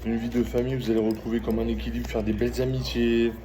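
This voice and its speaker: A middle-aged man chatting casually as he gives a tarot reading, halting and repeating phrases, often checking in with the listener. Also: mid-range voice, little pitch movement. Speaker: tarot reader. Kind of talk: casual spoken tarot reading with personal chat. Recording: clearly noisy ambient background.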